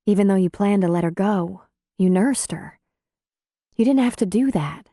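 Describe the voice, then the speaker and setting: Woman as a mellow, gently firm midwife. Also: medium pitch, normal speed, no room sound, studio quality, natural voice. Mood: earnest. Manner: gentle, concerned, earnest. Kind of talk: audiobook character dialogue.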